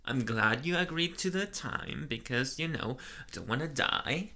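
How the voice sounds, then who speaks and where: A man voicing a shy, flustered young vampire boyfriend in a soft ASMR roleplay, hesitant and stammering with nervous energy. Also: medium pitch, lively intonation, moderate pace. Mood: nervous, grateful. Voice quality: soft, breathy at times.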